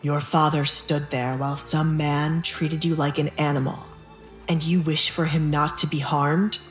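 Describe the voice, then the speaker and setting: A young nobleman acted out by a woman speaks in a low, threatening tone. He is possessive and quietly unhinged, a swordsman from an audio romance drama. Soft music runs beneath the voice. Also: flat delivery, a little slow. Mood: cold, protective.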